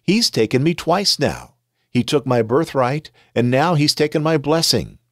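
A man's voice: a little high, animated, unhurried, no room sound, studio quality, pitched above the performer's own voice. A man reading aloud the words of a grieving eldest son who pleads in a scripture reading. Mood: pleading.

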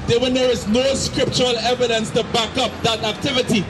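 A middle-aged man with a Trinidadian accent, preaching forcefully outdoors into a handheld mic and repeating his points. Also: very high voice, little pitch movement, a little fast. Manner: forceful, repetitive, shouting at times. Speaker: street preacher. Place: city street outdoors, crowd around. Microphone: handheld mic through a loudspeaker. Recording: noisy street with crowd.

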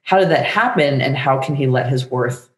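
A middle-aged woman chatting casually as an interview guest, thinking aloud in a rambling, animated way. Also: rumbling low, normal speed. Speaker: guest playwright. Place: book-lined room at home, indoors. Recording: roomy, echoey video call.

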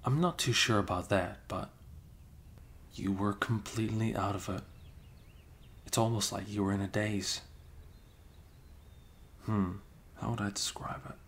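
Close-mic ASMR roleplay: a low-voiced man, unhurried and hesitant, drops into whispers. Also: fairly even intonation. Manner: soft-spoken, drifting into whispers, hesitant. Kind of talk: improvised ASMR roleplay as a best friend. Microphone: close microphone.

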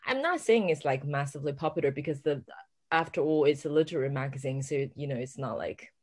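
A woman's voice: low-pitched, expressive, fast, darkish tone. A woman author, a native Chinese speaker, talking in a hesitant, casual way with many fillers and frequent laughs. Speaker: author guest. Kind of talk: casual conversation.

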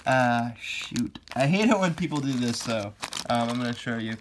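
A young man speaks in a hoarse, slightly raspy voice, rambling casually with plenty of "uh" and "um". The phone recording carries a clear layer of noise and the crinkle of plastic bags. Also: mid-range voice, expressive, normal speed. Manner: rambling, many fillers, off-hand. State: hoarse from a sore throat. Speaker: Lego hobby vlogger. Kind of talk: casual unboxing chat. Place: indoors, room with wooden floor. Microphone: phone camera held over the floor. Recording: noticeable background noise, package crinkling.

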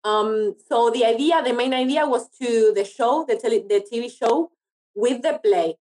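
Woman, halting conversational delivery. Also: a little high, a little mellow. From Argentina. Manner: halting, searching for words. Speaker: interview guest, actress. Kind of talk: conversational interview.

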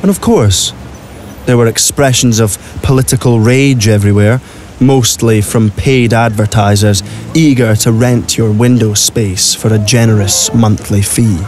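A man's voice: fairly low pitch, fairly even intonation, unhurried, a little mellow. A man narrates an audio drama in a mid-range voice, telling the story as scripted prose. Music plays faintly behind him.

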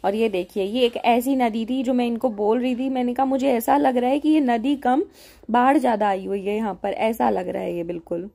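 A young woman narrating her travel vlog in a casual, chatty way, with filler pauses. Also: a little high, fairly even intonation, vocal fry, warm tone. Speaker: travel vlogger.

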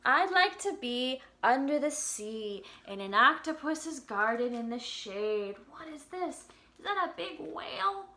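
Bright, high-voiced young woman teaching kids. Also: a little slow, a little hiss or hum. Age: young adult. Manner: expressive, addressing young children with simple questions and exaggerated emphasis. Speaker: children's music teacher. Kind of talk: children's lesson and picture book read-aloud. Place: home room with an upright piano.

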